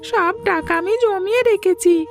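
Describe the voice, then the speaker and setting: A little cartoon schoolboy, talks in a very high, childlike voice. He pleads plaintively and slowly, clinging to his mother. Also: soft background music. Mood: innocent. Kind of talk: cartoon folk-tale dialogue.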